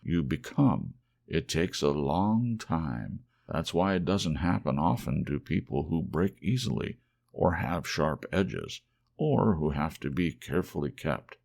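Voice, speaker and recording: A man voicing a wise, gentle old toy horse in a storybook, speaking slowly and patiently. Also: a bass voice, very animated, creaky, full character voice. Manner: slow, patient, reassuring.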